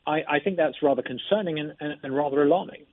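A man being interviewed as a lawmaker, speaking in a measured way and often repeating words as he begins a sentence, heard over a phone line. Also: fairly high pitch, no echo. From not from Mississippi. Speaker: interview guest, state lawmaker. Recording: tinny phone line, dry.

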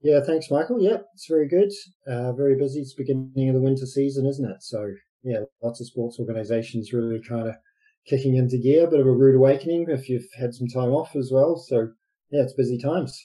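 A man's voice: medium pitch, fairly quick, mellow, slightly rough, low-quality recording. A man from New Zealand with a New Zealand accent, a basketball coach and social entrepreneur explaining his work in a podcast interview, thoughtful with many fillers.

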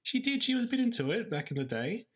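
A man hosting a casual podcast, his voice croaky from illness, earnest and self-deprecating. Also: sits high, big pitch swings, quick pace. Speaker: podcast host.

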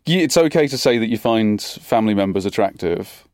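A man's voice: fairly low pitch, lively intonation, a little fast, a little mellow, a little hiss or hum. A man hosting a podcast who asks teasing, probing questions in casual chat. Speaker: podcast host.

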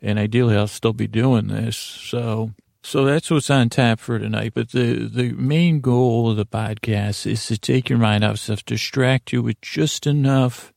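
A man with a heavily creaky, mellow voice hosts a bedtime podcast. His pitch stays fairly flat as he meanders, halting and doubling back into tangents. It is a rambling monologue meant for winding down. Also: dry recording, clean studio sound. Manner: rambling, halting, self-interrupting with trailing tangents. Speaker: podcast host.

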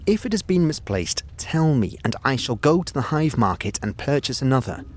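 A man's voice: medium pitch, big pitch swings, normal speed, a little mellow, voice pushed up. A man voicing a formal, fussy, exasperated mortuary caretaker reading out a written request in an audio drama.

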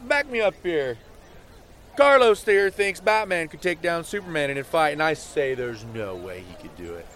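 A man's voice: on the high side, sing-song, moderate pace, a bit bright. A man playing a cocky, slightly tipsy nerd who argues emphatically in an audio drama. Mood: playful, cocky. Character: Brandon, tipsy cocky nerdy flirt.

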